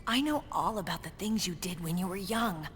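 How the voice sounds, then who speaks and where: A woman's voice: on the low side, lively intonation, normal speed, fairly bright tone, slight background noise. An actress playing a tough, defiant female military commander in a video game, firm and earnest in her delivery. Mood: pleading, earnest. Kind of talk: cinematic video game voice acting.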